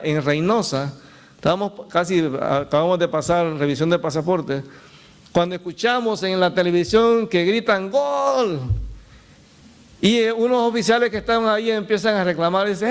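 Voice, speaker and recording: A pastor preaches in a notably high voice for a man. He tells anecdotes, laughs, and keeps turning to the congregation with direct questions. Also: normal speed, clear, resonant tone. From El Salvador. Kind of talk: sermon.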